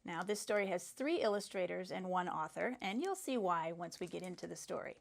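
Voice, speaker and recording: A woman's voice: fairly low pitch, a little fast, vocal fry. A woman, a school librarian, introducing a picture book read-aloud for children.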